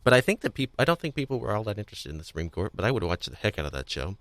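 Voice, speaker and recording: Male podcast host with a low voice and dry, close sound; conversational, loosely wandering phrasing. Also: sing-song, very fast, a bit bright, no room sound. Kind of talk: casual podcast discussion.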